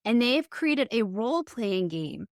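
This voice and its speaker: A woman's voice: on the high side, big pitch swings, measured pace, dry recording, clean studio sound. A woman hosting a casual interview, earnest and thinking aloud as she speaks. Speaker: podcast interviewer and host.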